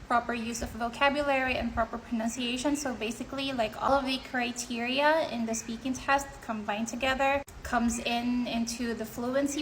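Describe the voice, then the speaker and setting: A young woman speaks with a Filipino accent, her first language being Filipino. Her voice sits a little high, and she talks casually, dropping in "like" and "right?" as she explains. A faint hum of ambient sound lies under her voice. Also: poor audio. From Philippines. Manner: conversational, with fillers like "like" and "right?". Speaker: vlogger sharing exam tips.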